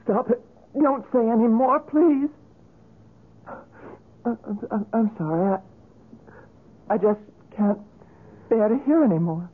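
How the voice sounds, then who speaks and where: Over a tinny old radio broadcast, a fragile, troubled woman. She speaks slowly and haltingly in a breathy voice, polite but distressed, in seventies radio drama style. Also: fairly high pitch. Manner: halting, hesitant. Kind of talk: 1970s radio drama acting.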